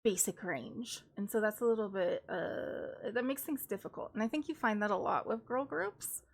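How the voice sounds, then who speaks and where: A woman's voice: mid-range voice, moderate pace. Chatty young woman thinking aloud. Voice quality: slightly nasal at times. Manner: thinks aloud, opinionated, analytical about singing. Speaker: classical vocalist streamer. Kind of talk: casual live-stream reaction commentary.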